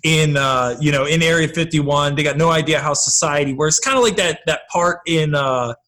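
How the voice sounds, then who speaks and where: Male guest, slightly high register, informal and jokey. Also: normal speed, pushed and bright. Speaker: podcast guest. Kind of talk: casual chat.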